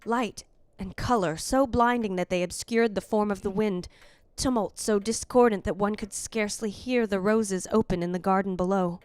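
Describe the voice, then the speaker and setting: A woman playing the wistful, fastidious ghost of a dead wife in a measured, formal radio drama monologue. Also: mid-range voice, a little mellow, smooth, no room sound, lightly acted. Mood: bewildered.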